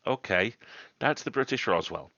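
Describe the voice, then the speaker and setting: A man's voice: lowish voice, lively intonation, moderate pace, gravelly, pushed and bright. A man reading a classic ghost story aloud as a livestream host. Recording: roomy, dull livestream audio.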